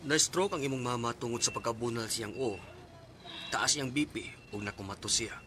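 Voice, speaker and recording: A man's voice: medium pitch, normal speed, pushed and bright, slight background noise, natural voice. A Filipino man with a Cebuano accent playing a serious, matter-of-fact doctor in a radio drama. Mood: grave, informative.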